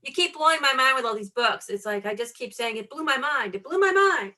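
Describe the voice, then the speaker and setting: A woman's voice: sits high, animated, brisk, bright, forceful tone. A woman co-interviewing in a casual chat, enthusiastic and jokey, gushing with praise.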